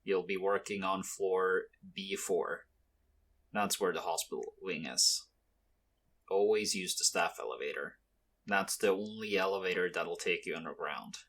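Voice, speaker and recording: A man acting in an ASMR roleplay as a mafia boss's son, a soft-spoken, hesitant and protective young man with gentle, measured delivery. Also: low-pitched, exaggerated voice. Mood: measured, instructive.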